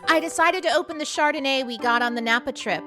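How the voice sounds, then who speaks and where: A woman's voice: high-pitched, fast, music in the background, natural voice. A woman playing a stern, exasperated section chief of a spy agency in a comedic audio drama. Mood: pleasant. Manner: curt, exasperated.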